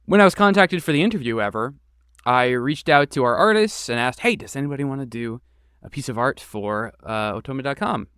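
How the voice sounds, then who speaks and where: A man who creates and voices games, answering interview questions in a thoughtful, relaxed way with many fillers. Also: medium pitch, a little fast, smooth, dry recording, clean studio sound. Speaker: game creator and voice actor. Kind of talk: podcast interview guest.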